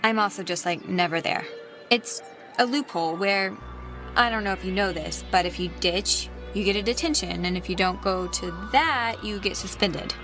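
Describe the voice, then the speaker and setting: A woman acting as a laid-back but apologetic American teenage girl. She speaks in a mid-pitched voice, haltingly, correcting herself as she goes. Game music plays clearly behind her natural, conversational delivery. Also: natural voice. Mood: casual, awkward. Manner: hesitant, trailing off, self-correcting. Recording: game soundtrack music under the voice.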